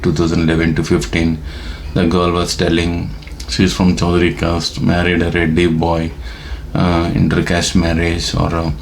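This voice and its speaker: A man's voice: deep voice, gravelly, slight background noise. A man with a Telugu accent talking in a rambling vlog monologue, full of fillers and hesitations. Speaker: vlogger. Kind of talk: casual monologue to camera. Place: Detroit.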